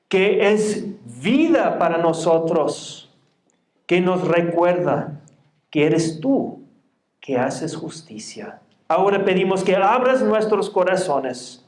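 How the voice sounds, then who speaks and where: A man's voice: sits high, darkish tone. A man in his forties with a Mexican Spanish accent, preaching a sermon to a congregation and reading scripture aloud. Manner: reads scripture aloud in a raised, projecting voice; plain, friendly asides to the congregation. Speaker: pastor. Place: church sanctuary. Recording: echoey church room.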